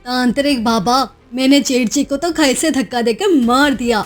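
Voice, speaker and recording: A woman voicing a cold, murderous, scheming sister-in-law in an animated story, sly and conspiratorial. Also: high voice, normal speed, faint music behind, natural voice. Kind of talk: Hindi animated moral-story voice acting.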